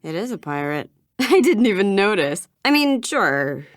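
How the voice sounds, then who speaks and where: Awkward young woman in a video game, halting and noncommittal. Her words come slowly but swing in pitch, with a dry, close sound. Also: very animated, dry recording. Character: awkward, hesitant, politely underwhelmed young woman.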